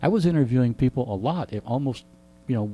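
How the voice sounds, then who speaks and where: Male radio interviewee, middle-aged, lively intonation at medium pitch, wry rambling teaser. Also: quick pace. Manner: wry teasing, rambling with repeated phrases. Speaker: radio entertainment presenter, guest. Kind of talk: casual radio chat.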